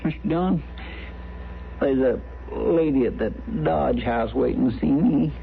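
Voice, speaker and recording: A man's voice: medium pitch, unhurried, creaky. A man playing a sheepish, folksy deputy in an old radio western, with a rural American accent and rambling, hesitant delivery. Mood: sheepish confession. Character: sheepish, lovesick, folksy deputy of a frontier marshal. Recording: tinny, faintly noisy old radio broadcast.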